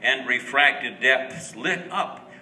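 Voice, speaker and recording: A man giving an expressive, dramatic recitation of a rhyming story, with deliberate pauses. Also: mid-range voice. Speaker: reader of a Christmas verse story. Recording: roomy, distant mic with faint noise.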